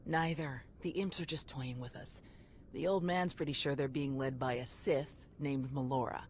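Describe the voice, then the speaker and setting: A woman's voice: a bass voice, steady tone, moderate pace, slight background noise. A woman playing a tough, battle-hardened Jedi security chief in a video game, speaking in a blunt, sardonic, clipped way. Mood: brisk, wry. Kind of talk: video game cutscene voice acting. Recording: music behind the voice.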